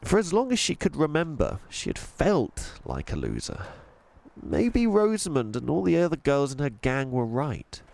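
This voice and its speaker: A man narrating a children's audiobook in an expressive storytelling style. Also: mid-range voice, moderate pace, gravelly.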